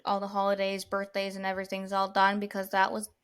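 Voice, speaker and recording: A woman in her thirties co-hosting a casual podcast chat, relaxed and rambling as she talks. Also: mid-range voice, fast, smooth. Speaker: podcast co-host.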